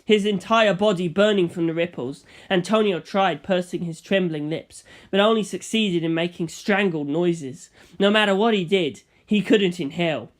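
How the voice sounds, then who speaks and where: A man narrating a first-person story as a scripted audiobook, reading in a dramatic, expressive way. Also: high-pitched, moderate pace, few pauses.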